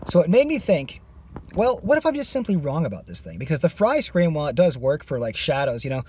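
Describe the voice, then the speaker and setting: A man's voice: a little high, big pitch swings, a little fast, a little mellow, slightly rough, no room sound. A man teaching a singing lesson. He is losing his voice and stops his explanation to demonstrate screams. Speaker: vocal coach.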